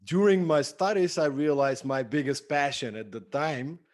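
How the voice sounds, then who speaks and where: A man's voice: a little high, normal speed, darkish tone. A man from Bulgaria answering conversationally as an interview guest, with an enthusiastic manner and emphatic phrasing. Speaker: podcast guest, entrepreneur and productivity speaker.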